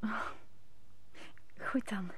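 A woman's voice: drawn-out words, sharp tone, no room sound, in a whisper. An actress playing an anxious lady, confidante to a queen, in a radio play. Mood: guarded.

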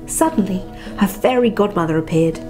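A woman reading a bedtime storybook softly and expressively, with chatty asides about the pictures. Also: medium pitch, animated, moderate pace, poor audio. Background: music.

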